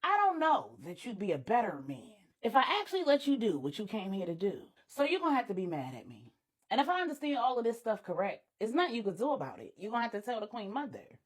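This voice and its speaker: A woman's voice: fairly high pitch, big pitch swings, quick pace, husky. A woman voicing a brazen, unruly and unbothered eccentric woman, sassy and colloquial, in an audio story. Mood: nonchalant defiance.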